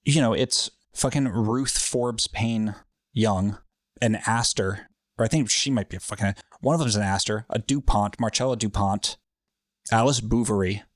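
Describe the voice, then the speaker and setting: A man's voice: fairly low pitch, moderate pace, dry recording, studio quality. A man hosting a podcast, telling a story in a loose, rambling, profane way with lots of fillers. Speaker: podcast host. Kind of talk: casual storytelling chat.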